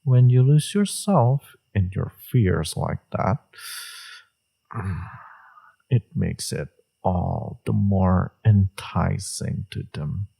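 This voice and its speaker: A very deep, dark-toned male villain acted by a man murmurs through a romance roleplay. His voice is husky and takes its time. He is smug and seductive, teasing his enemy with complete self-assurance. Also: expressive, drawn-out words, heavily acted. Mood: teasing, guarded. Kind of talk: romantic audio roleplay, intimate monologue.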